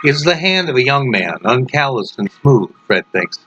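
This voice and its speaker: A man reading a dark horror story aloud as its author, in a theatrical, dramatic delivery. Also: mid-range voice, big pitch swings, normal speed. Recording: noisy, dull lo-fi home recording.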